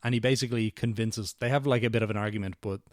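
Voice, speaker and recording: A man's voice: fairly low pitch, rapid-fire, dry recording, clean studio sound. Chatty man recapping a movie. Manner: chatty, quotes lines in animated voices. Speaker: podcast co-host. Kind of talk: casual movie recap chat.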